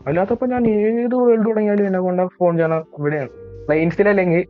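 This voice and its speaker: Chatty Malayali gamer on a tinny mic. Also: male voice, steady tone, fast, smooth, soft background music. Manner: chatty and self-mocking, admits he scares easily. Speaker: gaming YouTuber. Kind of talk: casual let's-play commentary. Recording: tinny, low-quality mic.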